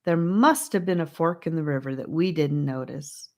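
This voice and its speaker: A woman voicing a calm, sensible boy in an audiobook, who reasons in a measured, reassuring way as leader of younger children. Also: deep voice. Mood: calm, firm.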